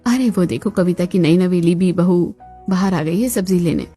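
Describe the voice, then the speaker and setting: A woman's voice: on the low side, moderate pace, warm tone, breathy edge, faint music behind, voice pushed down. A woman voicing a middle-aged neighbourhood gossip in a cartoon, teasing and snide. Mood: mocking.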